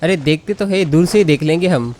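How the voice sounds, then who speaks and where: Very fast-talking Indian man, slightly high-pitched. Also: a little mellow, slight background noise. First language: Hindi. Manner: informal, joking, mixes Hindi with English. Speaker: gaming video host. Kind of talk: casual gameplay commentary. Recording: game music behind commentary.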